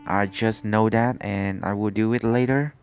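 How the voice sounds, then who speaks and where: A man's voice: lowish voice, steady tone, moderate pace, clean tone. Casual male game streamer over music. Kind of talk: casual game reaction commentary.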